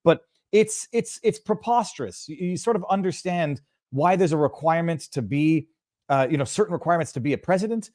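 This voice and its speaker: A man's voice: on the high side, animated, a little fast, darkish tone, no room sound, clean studio sound. A man from Montreal, giving emphatic, indignant political commentary on a remote call, often stumbling and restarting. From Montreal, Canada. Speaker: guest commentator.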